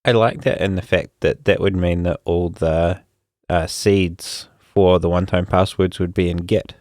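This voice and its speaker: A man's voice: deep voice, fairly even intonation, unhurried, darkish tone, no echo, clean studio sound. A man co-hosting a tech podcast, talking in an easygoing, casual way. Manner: easygoing, brief agreeing replies. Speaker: podcast co-host.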